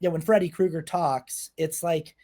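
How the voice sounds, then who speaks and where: A man hosting a film podcast, talking casually in a halting way, often circling back to correct himself. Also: a little high, steady tone, darkish tone.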